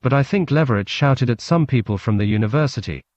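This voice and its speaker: A man with a Scottish accent reads a literary story laced with Scots words in a deadpan, clipped manner. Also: mid-range voice, fast, no room sound. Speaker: narrator, storyteller. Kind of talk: literary story reading in Scots-flecked English. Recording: muffled lo-fi recording with background noise.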